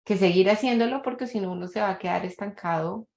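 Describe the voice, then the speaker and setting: A woman's voice: medium pitch, fast, gravelly, a little mellow. Hesitant woman guest, echoey room. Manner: candid, hesitant, many fillers. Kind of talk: conversational interview.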